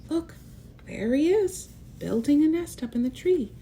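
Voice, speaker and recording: Woman, storybook read-aloud, unhurried pace, mellow voice, expressive, explanatory asides for kids, faint low rumble behind. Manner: expressive, explains and points things out for children. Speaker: children's read-aloud host.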